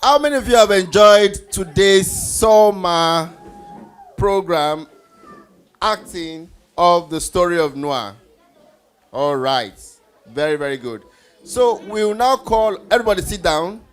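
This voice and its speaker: A man hosting a live event, making announcements to an audience in a deliberate, hesitant way. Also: high-pitched, expressive, clean tone. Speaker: event host.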